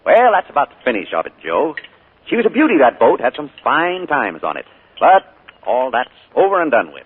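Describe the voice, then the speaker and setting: A smooth, secretive uncle. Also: male voice, mid-range voice, wildly swooping pitch, brisk, narrow, tinny audio. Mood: genial. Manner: genial and hearty, turning soft and hushed. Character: charming, secretive middle-aged uncle. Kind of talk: 1940s radio acting. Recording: scratchy 1940s radio broadcast.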